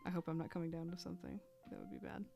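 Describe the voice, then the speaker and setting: A woman chatting casually while she draws on a live stream, thinking aloud with fillers and little laughs, a bit hoarse as she loses her voice. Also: fairly low pitch, little pitch movement, racing, music in the background. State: losing her voice.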